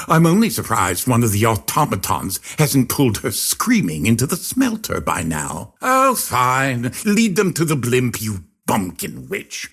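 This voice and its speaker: An actor playing a pompous, sinister circus ringmaster in a video game, speaking in florid showman's phrases with drawn-out dramatic emphasis. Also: mid-range voice, vocal fry, fairly bright tone, slightly rough, heavily acted. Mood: scornful, menacing. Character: theatrical male circus ringmaster villain, grandiose and sinister. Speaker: video game villain and overseer. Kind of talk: theatrical scripted game voice lines.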